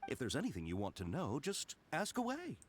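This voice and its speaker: An actor voicing an affable, theatrical engineer in a video game, chatty and jaunty with a touch of pomp. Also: male voice, mid-range voice, wildly swooping pitch, brisk, slight background noise. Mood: jovial, self-assured. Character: affable, theatrical foreign engineer eager to share knowledge.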